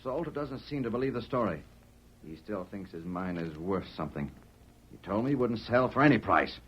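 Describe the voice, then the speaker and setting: An actor playing a greedy, domineering swindler in a 1940s radio western, calculating and bossy. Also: male voice, fairly low pitch, animated, brisk, breathy edge. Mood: angry, scheming. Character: scheming, greedy, bossy Eastern swindler villain. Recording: dull, muffled old radio recording.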